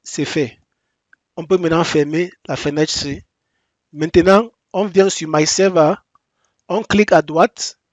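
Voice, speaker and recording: A man whose first language is English, walking through a software installation step by step in halting French with frequent restarts and repeated instructions. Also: a little high, steady tone, dry recording. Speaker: software tutorial presenter. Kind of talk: screen-recorded software tutorial.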